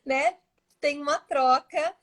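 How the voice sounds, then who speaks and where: A woman with a São Paulo Brazilian accent, a guest astrologer chatting warmly on a live stream and laughing often, speaking into her device's built-in mic at home. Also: sits high, slow. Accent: São Paulo Brazilian Portuguese. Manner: warm, animated, laughs often between phrases. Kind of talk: casual live-stream chat. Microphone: device's built-in mic, no headset.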